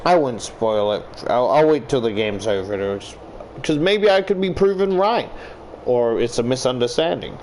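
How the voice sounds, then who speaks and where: A man giving casual, rambling game commentary, halting and swearing freely as he talks. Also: mid-range voice, rough recording. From Australia. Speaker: YouTuber playing and reading a visual novel. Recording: clear steady air-conditioner background noise.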